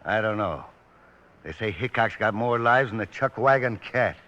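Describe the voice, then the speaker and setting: An actor playing a brash, scheming American outlaw with a gravelly voice in an old Western radio drama. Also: male voice, on the low side, expressive, normal speed, sharp tone, muffled recording. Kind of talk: 1950s Western radio acting.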